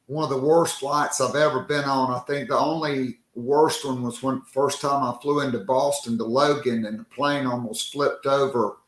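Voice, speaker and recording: A man hosting a sports podcast, talking casually and jokingly with a worn-out, hoarse voice. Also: medium pitch, steady tone, echoey room, low-quality recording. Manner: loose and joking, slow drawn-out delivery. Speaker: podcast host.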